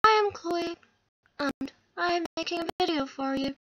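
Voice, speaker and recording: A woman's voice: very high voice, moderate pace, fairly bright tone. A young woman with a crackly voice, chatting casually and rambling self-deprecatingly into a webcam up close. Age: young adult. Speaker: vlogger. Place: small dim room indoors. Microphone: webcam or laptop microphone up close.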